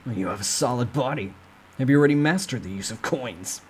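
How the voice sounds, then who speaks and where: A man acts out a cold, ruthless young man in a dramatic storybook reading. He is curt and commanding, every phrase a threat, at an ordinary male pitch. There is clear noise behind the voice. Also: lively intonation, brisk, natural voice. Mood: cold, probing.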